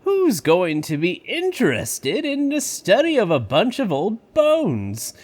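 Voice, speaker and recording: A high, slow-talking old man, played by an actor in an audio drama. He is a grandiose, cheerful park founder with a theatrical, lively swing to his speech. Music plays beneath him. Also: full character voice. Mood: smug, reassuring. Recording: music under the voice.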